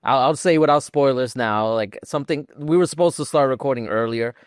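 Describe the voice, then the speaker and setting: A man's voice: mid-range voice, brisk, clear, resonant tone, dry recording, studio quality. A man co-hosting a podcast, chatting informally about video games in a self-deprecating way. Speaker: podcast co-host.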